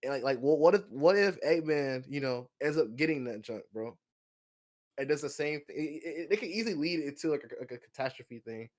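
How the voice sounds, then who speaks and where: A man with a fairly high voice chats casually on a podcast. He talks in slang and pushes his opinions, often doubling back over his words to make the point. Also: lively intonation, a little fast, a little mellow. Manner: slangy, opinionated, repeats himself. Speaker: podcast co-host. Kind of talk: casual chat, film discussion.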